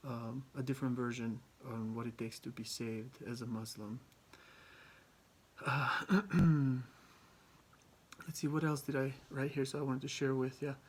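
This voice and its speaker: Young guy from albania doing a school presentation, kinda flat, keeps going um and restarting. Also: mid-range voice. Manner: halting, many ums and restarts. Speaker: student presenter. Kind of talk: class presentation.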